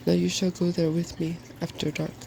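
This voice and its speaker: A man as a soft, creaky, scholarly young woman. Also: fairly high pitch, monotone, normal speed, a little hiss or hum. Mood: instructive. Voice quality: soft-spoken. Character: eager, bossy, scholarly young woman in fairy tale. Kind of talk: ASMR storybook reading.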